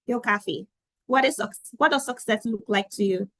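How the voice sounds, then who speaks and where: A woman's voice: a little high, normal speed, a bit bright, no echo. A woman moderating an online panel, upbeat, reading out questions from the audience.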